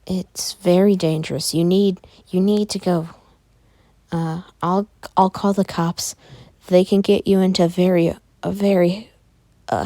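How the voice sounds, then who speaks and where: A grave, considerate doctor in a spoken story, acted out by a woman in a near-everyday voice. He is hesitant and slow, with a low-key mellow tone, recorded dry. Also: gravelly, no echo. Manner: hesitant, measured.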